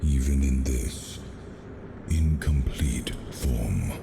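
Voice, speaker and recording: An immensely deep, ancient lord of the seas for a fantasy video game. He is grave and wise, drawing out portentous words in a gravelly creak, over loud music. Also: extremely slow, full character voice. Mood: solemn welcome. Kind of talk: dramatic video game acting.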